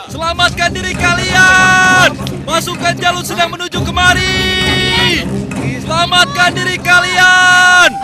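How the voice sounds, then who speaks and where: A man voicing a panicked male villager in a cartoon, who shouts warnings. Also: very high-pitched, monotone, slow pace, over loud music, a loud crowd. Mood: alarmed.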